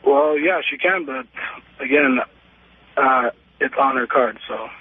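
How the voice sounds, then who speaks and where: A young man in his early twenties, calling a radio show and telling his story casually and haltingly. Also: mid-range voice, slightly rough, no echo. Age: early 20s. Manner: hesitant, halting. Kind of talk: casual phone confession. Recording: tinny, noisy phone line.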